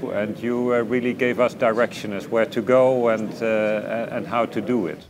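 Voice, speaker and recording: An embassy official speaks earnestly to the honoree, pausing as he searches for words. His voice sits at a mid pitch, with a clear crowd murmuring behind him. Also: steady tone. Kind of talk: tribute interview, speaking to the honoree.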